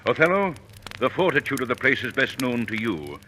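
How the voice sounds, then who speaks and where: An actor playing a courtly head of state with pompous, formal oratory in old radio Shakespeare style. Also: male voice, mid-range voice, sing-song, fairly quick, pushed and bright. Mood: commanding. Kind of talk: 1940s radio Shakespeare acting. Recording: faintly noisy old radio broadcast.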